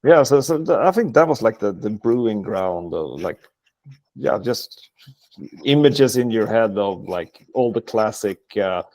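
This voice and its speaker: A man's voice: fairly low pitch, animated, measured pace, darkish tone, no room sound. A man from a band talking casually in an interview, with lots of filler words and swearing. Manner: filler-heavy, profane. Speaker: band member.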